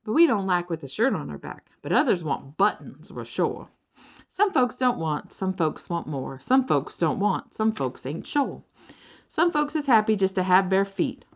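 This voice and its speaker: A woman's voice: lowish voice, big pitch swings, moderate pace. A woman voicing an easygoing folksy creature, half bird and half turtle, with a drawling rural Southern US accent in a children's storybook. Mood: drawling, contented.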